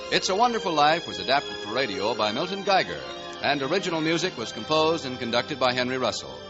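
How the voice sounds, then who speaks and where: Male American announcer, formal 1940s broadcast read, lively melody, muffled lo-fi sound. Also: on the high side. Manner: formal, polished. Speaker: radio announcer. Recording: muffled 1940s radio recording.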